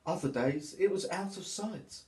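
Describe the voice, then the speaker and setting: A man, a teacher reading a storybook to children in an expressive, deliberate way. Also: high voice. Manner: expressive, deliberate, addressing young listeners. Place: small plain room at home, indoors. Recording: echoey small room.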